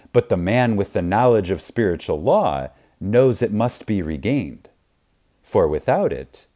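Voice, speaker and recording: A man reads an audiobook in a low, mellow voice with widely varied intonation. His delivery is reverent and emphatic. He leaves deliberate pauses for effect. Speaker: audiobook narrator. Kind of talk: scripted audiobook narration.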